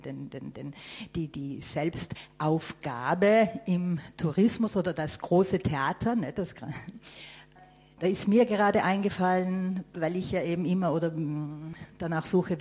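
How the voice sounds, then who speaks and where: A woman's voice: deep voice, darkish tone. A woman speaking thoughtfully in a casual panel discussion, often stumbling over repeated words. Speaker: panel guest.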